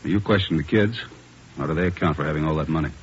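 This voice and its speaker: An American actor playing a demanding police captain in a 1950s radio drama, curt and authoritative. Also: male voice, very deep voice, quick pace, husky. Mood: grim, businesslike. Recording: dull, faintly noisy old radio recording.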